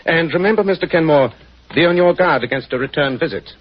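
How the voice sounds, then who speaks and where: A man playing a keen, composed gentleman detective with a British accent, speaking precisely and formally in 1940s radio style. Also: on the high side, lively intonation, quick pace, natural voice. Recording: dull, muffled 1940s radio recording.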